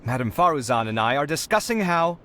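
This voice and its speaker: A man playing a friendly, polite young scholar in a video game, eager and expressive. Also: fairly high pitch, fairly quick. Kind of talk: video game character acting.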